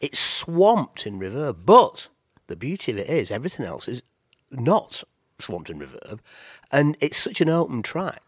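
A man's voice: mid-range voice, big pitch swings, unhurried, breathy edge, no echo, studio quality. A man with a cold and a nasal voice, chatting casually about music as a podcast co-host, rambling with restarts. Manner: rambling, with many restarts and fillers.

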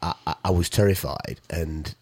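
A very deep-voiced man, husky and rough from a sore throat, chats on air about music. He is easygoing and stumbles over repeated words as he tells his stories. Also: normal speed, dry recording, studio quality. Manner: stammering restarts, easygoing. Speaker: radio co-host, musician. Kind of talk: casual chat.

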